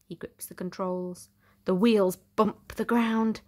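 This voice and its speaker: A woman reading a children's storybook aloud in an expressive, theatrical way with deliberate emphasis. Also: medium pitch, a little hiss or hum. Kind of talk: dramatic storytime narration for young children.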